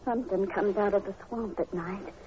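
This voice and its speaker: A muffled, faintly hissy old radio recording features a woman playing a frightened Southern farm girl in her late teens. Her voice is mellow and anxious, pleading in the manner of a 1940s radio play. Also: mid-range voice, moderate pace, lightly acted. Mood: fearful, hesitant. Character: timid, fearful seventeen-year-old farm girl. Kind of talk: 1940s radio drama acting.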